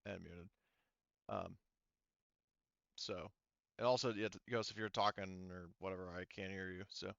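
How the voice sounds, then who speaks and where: A man's voice: sits low, moderate pace, vocal fry, long pauses, a bit bright, smooth, no echo. A man working as a game master, narrating a tabletop game off the cuff in a casual, rambling way over a voice call. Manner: rambling, casual, frequent hedging. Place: online voice call. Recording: dull lo-fi voice call.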